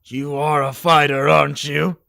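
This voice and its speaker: Man playing a very gravelly alien war commander. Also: a little high, little pitch movement, a little slow, bright, forceful tone, full character voice. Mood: stern, scornful. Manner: commanding, gruff, impatient. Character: stern, hot-headed male alien war commander. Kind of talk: amateur animation voice acting.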